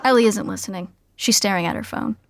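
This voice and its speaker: A woman narrating a comedy podcast in a dry, deadpan way. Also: medium pitch, fast talker, crowd noise, noisy background.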